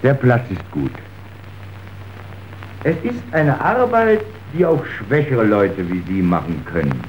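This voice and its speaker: An actor playing a callous, smooth-talking factory foreman in a radio drama, coaxing and persuasive. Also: medium pitch, very animated, slow pace. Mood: falsely reassuring. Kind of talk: scripted radio drama acting. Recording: dull, faintly hissy old radio recording.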